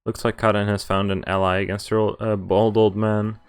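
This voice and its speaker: A man's voice: fairly low pitch, flat delivery, fairly quick, clean tone. A man streaming a game, casually reading its text aloud and adding laid-back, wry asides. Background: game music.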